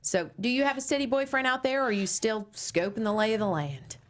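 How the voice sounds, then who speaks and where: A woman reading the part of a conservative middle-aged mother who hosts a talk radio show, brisk and prying. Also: fairly high pitch, animated, a bit bright. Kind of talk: table read.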